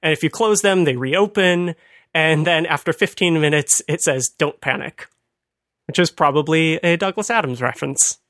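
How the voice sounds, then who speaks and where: A man's voice: fairly high pitch, normal speed, fairly bright tone, no room sound, studio quality. A man hosting a podcast, explaining things in a casual, chatty way and laughing at his own asides. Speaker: podcast host.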